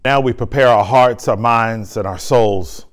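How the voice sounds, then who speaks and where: A man's voice: medium pitch, unhurried. Fervent preaching pastor, a man. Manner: fervent, emphatic preaching and solemn prayer. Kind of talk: sermon and communion liturgy. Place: church sanctuary, indoors. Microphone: lapel mic.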